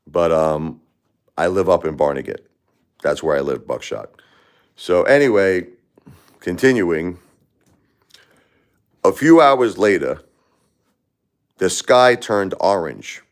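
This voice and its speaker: Deep-voiced New man, rambling livestream talk. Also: lively intonation, slow, long pauses, clear, resonant tone. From Brooklyn, New York. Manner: rambling, repeats words and restarts, addresses chatters by name. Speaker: livestream host. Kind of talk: casual livestream chat with viewers.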